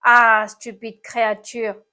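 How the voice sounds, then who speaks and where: Woman as an indignant, high-voiced little snail. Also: level tone, slow pace, pushed and bright. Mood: indignant, wailing. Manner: indignant, moaning. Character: small horned snail, indignant, moaning, feeble victim.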